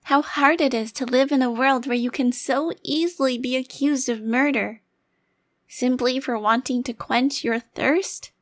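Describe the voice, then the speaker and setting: A woman performs a centuries-old vampire crime lord who still looks like a child. The voice is fairly high and the delivery slow, formal and deliberate. It carries a haughty authority in the style of an ASMR roleplay monologue. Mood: defiant, pleading.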